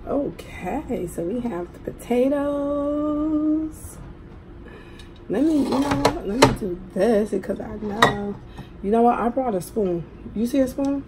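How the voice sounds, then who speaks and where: A middle-aged woman with a hoarse voice, chatting casually to viewers as a mukbang host at a table. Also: a little high, lively intonation, slow. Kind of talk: casual eating vlog chat. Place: indoors at a table at home. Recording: clearly noisy room recording.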